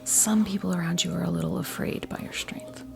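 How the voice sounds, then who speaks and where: A woman in her fifties gives an ASMR tarot reading in a breathy whisper, halting often as she reassures the listener. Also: on the low side, steady tone. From New Jersey, United States. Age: 50s. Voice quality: breathy whisper mixed with soft creaky voice. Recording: music playing behind the voice. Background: music.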